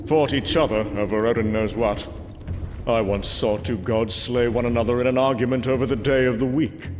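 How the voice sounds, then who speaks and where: An actor playing a proud, brooding warrior god, telling his tale gravely and theatrically in a comic book drama. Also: on the low side, natural voice. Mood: grim, brooding. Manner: grave, theatrical, measured storytelling. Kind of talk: dramatic first-person comic book narration. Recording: music under the voice. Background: music.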